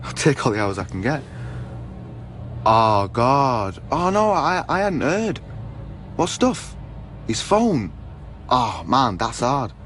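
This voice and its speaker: A man's voice: medium pitch, very animated, slow pace, pauses often, fairly bright tone, soft background music. An actor playing a flustered, evasive employee on the phone to his boss, hesitant and placating, in naturalistic radio drama. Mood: eager, placating. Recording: faint noise and ambience.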